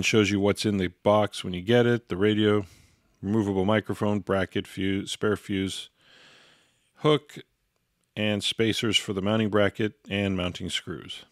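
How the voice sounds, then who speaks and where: A man's voice: lowish voice, dry recording, studio quality. A man giving an instructional product walkthrough in a matter-of-fact, step-by-step way. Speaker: product reviewer and demonstrator.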